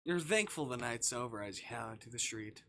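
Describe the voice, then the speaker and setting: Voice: mid-range voice, lively intonation, a little fast, bright, forceful tone, a little hiss or hum. A streamer chatting casually and reading a visual novel aloud, rambling, jokey and easily sidetracked.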